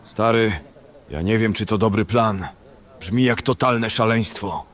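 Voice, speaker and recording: A man acting a selfish, panicky bandit in a fantasy audio drama, with an excitable, colloquial way of speaking. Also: on the low side, a little slow, close to an everyday voice. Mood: doubtful.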